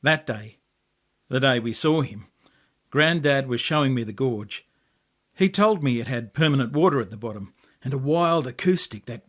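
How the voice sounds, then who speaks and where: An Australian man reading a story as dramatic narration, in measured, poetic phrases with deliberate pauses. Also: medium pitch. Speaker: author reading own story.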